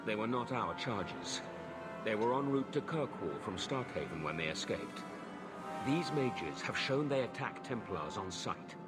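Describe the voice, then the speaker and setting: An actor voicing a weary, earnest middle-aged knight in a video game, speaking in a formal, measured way. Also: male voice, fairly low pitch, lively intonation. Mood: grave, urging. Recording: music behind the voice.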